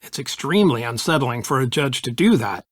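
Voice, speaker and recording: A man's voice: medium pitch, lively intonation, a little fast, dry recording, lightly acted. Middle aged american dad man acting talking thru a case, analytical, supportive, dry studio sound. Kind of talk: video game dialogue acting.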